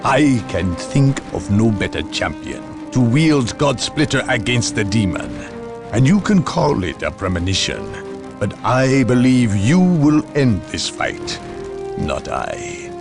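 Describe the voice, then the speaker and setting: A man's voice: mid-range voice, very animated, slow pace, music in the background. A man playing a zealous, commanding inquisitor in a video game cutscene, speaking formally and with authority. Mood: grave reassurance. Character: solemn commanding male inquisitor, zealous, world-weary judge of men.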